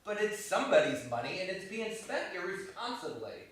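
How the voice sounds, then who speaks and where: A man's voice: high-pitched, very animated, moderate pace, fairly bright tone. An actor playing a sensible, skeptical male colleague of a film director, with a dry, questioning delivery in dramatic dialogue. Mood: incredulous, reproachful. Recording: very echoey room, distant microphone.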